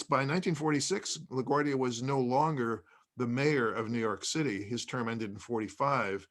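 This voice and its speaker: A man in a conversational panel discussion, explaining things in halting phrases with pauses. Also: on the high side. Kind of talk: conversational discussion of old radio.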